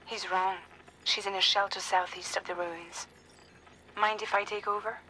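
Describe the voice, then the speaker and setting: An actress playing a composed, resolute woman in a video game, calm and blunt. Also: medium pitch, moderate pace, slight background noise, natural voice, rough recording. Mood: calm, resigned. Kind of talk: video game cutscene acting.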